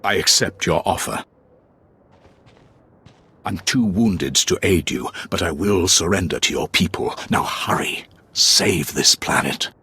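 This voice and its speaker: An actor playing a grave, honor-bound Sith warrior in a video game, with a rough voice and solemn, formal delivery. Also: male voice, expressive, unhurried, pushed and bright, heavily acted. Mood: resigned. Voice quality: deep, rough, husky. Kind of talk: video game dialogue acting.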